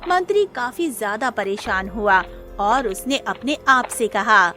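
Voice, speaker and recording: A woman's voice: on the high side, lively intonation, measured pace, sharp tone. A woman narrating a scripted cartoon moral story. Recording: music under the voice.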